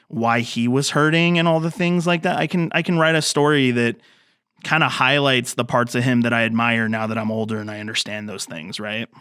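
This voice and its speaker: A man's voice: medium pitch, fairly bright tone, clean tone, no echo, clean studio sound. A man in his thirties, a filmmaker guest on a podcast, talking casually and rambling with many fillers and restarts. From grew up in the mountains. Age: 30s.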